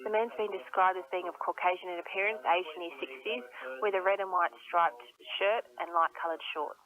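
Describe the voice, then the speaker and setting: A woman's voice: lowish voice, brisk. A woman with an Australian accent giving a formal police incident statement over the telephone. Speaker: police spokesperson. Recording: tinny narrow phone line.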